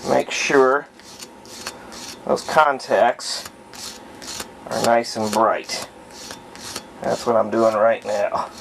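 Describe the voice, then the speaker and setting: A man narrating casual hands-on repair work, thinking aloud in short, halting phrases. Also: medium pitch, steady tone, creaky, fairly bright tone, slight background noise, poor audio. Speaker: hobbyist demonstrating antique radio repair. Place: room at home, indoors.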